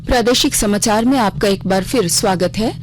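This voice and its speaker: A woman's voice: medium pitch, brisk, slight background noise. A woman reading a scripted regional news bulletin on the radio as the news reader.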